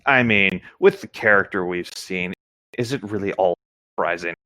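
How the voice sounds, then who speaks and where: A man's voice: sits low, normal speed, sharp tone, no echo, clean studio sound. A man co-hosting, reading a story aloud and adding casual commentary.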